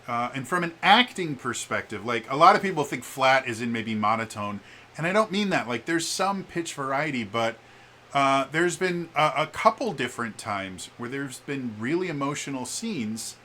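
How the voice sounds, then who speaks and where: Bright-voiced, expressive man vlogging. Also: medium pitch, normal speed. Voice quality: stuttering on words. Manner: expressive, emphatic delivery with shifting volume. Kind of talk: casual opinion vlog. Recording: background room noise.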